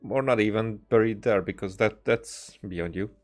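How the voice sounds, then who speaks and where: A man with a fairly low voice streams a video game. He reacts casually and haltingly, often repeating words, with soft music behind him. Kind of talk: casual live reaction to gameplay.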